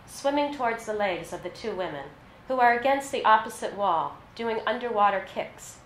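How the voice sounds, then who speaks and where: A woman's voice: mid-range voice, a bit bright. Woman reading fiction in an echoey room. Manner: measured, scripted narration with read-out dialogue. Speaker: author reading short fiction aloud. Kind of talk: literary reading at a live launch event. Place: live reading in an echoey venue. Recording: roomy echo, faint background noise.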